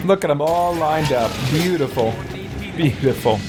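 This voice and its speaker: A man giving casual let's play commentary, reacting out loud and talking to the game's characters. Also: fairly high pitch, a little slow. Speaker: gaming show host. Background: video game music.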